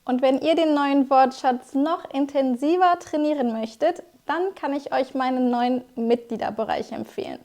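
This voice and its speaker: A young woman who is a German teacher, explaining vocabulary to viewers in a clear, scripted lesson style. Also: sits high, mellow.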